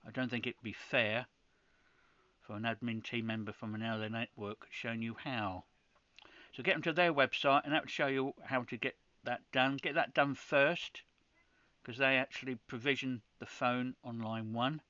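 A man's voice: mid-range voice, fairly even intonation, moderate pace, bright, forceful tone, dry recording, dull audio. A man from the UK hosting a ham radio channel, walking viewers through a setup step by step in a practical tutorial, with frequent restarts. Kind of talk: screen-recorded tutorial.

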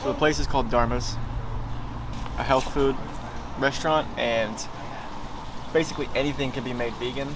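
A man's voice: mid-range voice, steady tone, moderate pace, long pauses. A young man with an American accent talking casually as a travel vlogger. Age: young adult. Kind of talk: casual vlog chat. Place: car, cafe patio, street, hotel room. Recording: loud music and outdoor noise behind.

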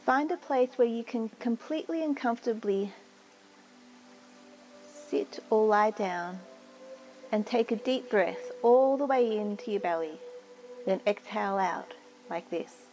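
A woman narrating a guided meditation in a soothing, measured way with long pauses. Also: a little high, animated, darkish tone, poor audio. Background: music.

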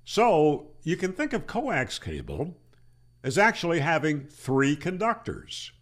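A man over sixty giving an explanatory tutorial in an emphatic, deliberate manner, speaking into a close desk microphone. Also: a little high, wildly swooping pitch. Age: older, over 60. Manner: emphatic, with rhetorical questions and deliberate repeats. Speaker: amateur radio channel host. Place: small plain room indoors. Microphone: desk microphone on boom arm, close.

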